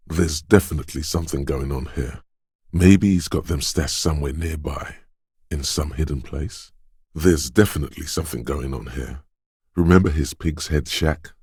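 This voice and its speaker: Very deep, knowing inner-voice game narrator. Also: male voice, normal speed, darkish tone, slightly rough, no echo, clean studio sound, heavily acted. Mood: alert, measured. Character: detective's inner voice of quick reflexes, alert, wry narrator. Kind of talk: dramatic video game narration.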